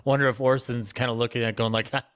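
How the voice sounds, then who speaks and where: A man's voice: medium pitch, little pitch movement, very fast, fairly bright tone, clear, resonant tone. A man speaking as a panelist in a casual discussion, effusive and colloquial in his phrasing.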